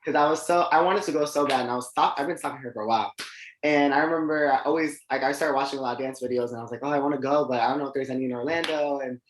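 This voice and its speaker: A man's voice: medium pitch, very fast, a little hiss or hum. A nineteen-year-old man from the United States, talking informally and conversationally as a podcast guest. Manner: informal, conversational, heavy use of "like". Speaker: podcast guest, dancer and artist. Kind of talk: casual interview chat. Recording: echoey, roomy sound.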